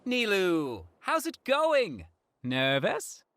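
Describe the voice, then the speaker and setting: A woman's voice: medium pitch, huge pitch swings, drawn-out words, fairly bright tone, soft background music, exaggerated voice. A woman playing a cheeky, easygoing female friend in a video game, with a playful, teasing manner.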